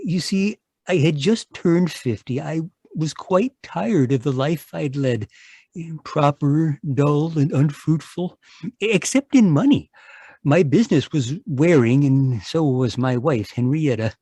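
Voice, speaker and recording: Man as timid middle-aged man, husky, slightly high voice, hesitant, apologetic, stammering, radio mystery acting. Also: lively intonation, takes it slowly, mellow, no room sound, full character voice. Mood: rueful. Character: timid, apologetic, world-weary little man around fifty. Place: live remote performance over video call.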